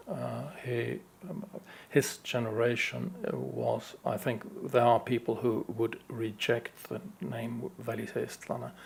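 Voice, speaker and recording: A man from Sweden answering audience questions at a conference, slow and hesitant with many fillers. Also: medium pitch, vocal fry, a little hiss or hum. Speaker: conference speaker.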